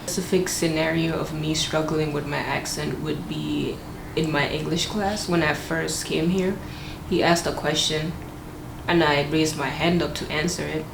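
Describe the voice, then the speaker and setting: Low, gravelly young Gambian woman. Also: steady tone, normal speed. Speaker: interviewee. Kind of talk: documentary interview. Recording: echoey room with noticeable ambient noise.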